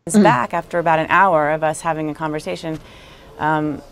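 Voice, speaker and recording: A woman recounting a personal experience in an interview, speaking in a halting, earnest way. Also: sits low, steady tone, clear, resonant tone. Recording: noisy interview clip playing.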